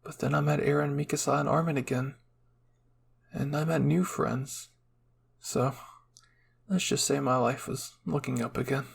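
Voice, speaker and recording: Husky, creaky-voiced man narrating a story. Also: mid-range voice, steady tone, moderate pace, pauses often. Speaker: fan fiction narrator. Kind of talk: ASMR story narration, reading in first person.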